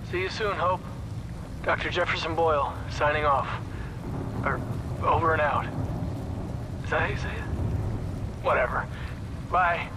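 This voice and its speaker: An actor playing a nervous, self-deprecating archaeologist who rambles wryly while recording an audio log in a video game. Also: male voice, a little high, unhurried, long pauses, natural voice. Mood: awkward, flippant. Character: nervous, wry male archaeologist trapped underground, self-deprecating. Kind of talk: acted audio log monologue. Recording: very noisy game audio capture.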